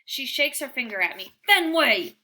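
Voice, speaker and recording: A woman reading a children's book aloud as storyteller, with expressive, dramatic and deliberate phrasing for young listeners. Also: a little high, slight background noise.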